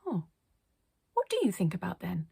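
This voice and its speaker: A woman's voice: lowish voice, huge pitch swings, moderate pace, creaky, warm tone, slightly rough, no room sound, studio quality, exaggerated voice. A woman voicing a curious, straightforward young girl in a children's storybook, plain and questioning.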